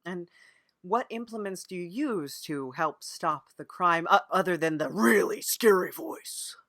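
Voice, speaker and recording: A man hosting a mock-serious improvised comedy interview, hesitant and deadpan as he earnestly plays along. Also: high-pitched, measured pace, no room sound, studio quality. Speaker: podcast interviewer.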